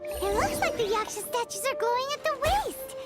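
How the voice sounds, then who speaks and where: A woman voicing a tiny, childlike, excitable fairy companion in a video game, chatty and whiny with exclamatory delivery. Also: extremely high pitch, moderate pace, over loud music. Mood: grumbling, puzzled. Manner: speaks of self in third person, exclamatory. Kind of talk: video game character acting.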